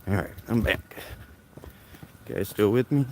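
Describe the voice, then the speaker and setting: A man with a fairly low voice comments casually for a live stream. His delivery is offhand and muttered, as if talking half to himself. The recording is noisy, made outdoors on a handheld camera. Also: a little slow. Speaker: citizen videographer live streaming police scene. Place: outdoors on a street and alley.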